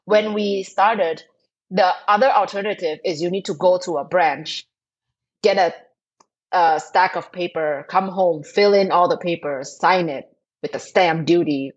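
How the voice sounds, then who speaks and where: Thai-born woman in her 30s, podcast guest, husky lower voice, animated and emphatic, muffled remote line. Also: a little slow. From Thailand. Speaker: startup co-founder guest. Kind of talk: interview answers.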